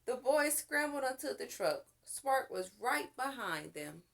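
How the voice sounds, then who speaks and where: Female storyteller for kids' picture books, expressive, fairly high voice with lively swings. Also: a little slow, vocal fry, slight background noise. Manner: expressive, with character voices and asides to listeners. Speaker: children's story reader. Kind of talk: read-aloud children's storytelling.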